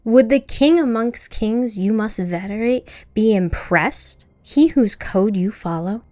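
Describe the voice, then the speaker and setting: Sly sweet-talking young alien lady, man doing the voice, high and soft, refined and pleading. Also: expressive, slow, no room sound, clean studio sound, faint music behind. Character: young female alien diplomat, cunning, honeyed.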